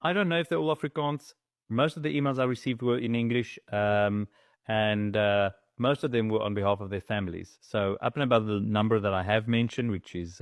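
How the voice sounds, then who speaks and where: South african guy, kinda low voice, lots of uh and um, dry close sound. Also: no echo. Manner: hesitant, many fillers and restarts. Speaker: chamber of commerce spokesman. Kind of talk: news interview.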